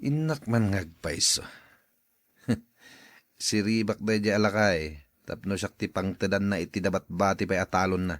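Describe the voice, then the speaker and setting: A man playing a greedy, calculating eldest son in a radio drama, with an expressive delivery. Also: low-pitched, normal speed, pauses often, dry recording, clean studio sound, lightly acted. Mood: scheming.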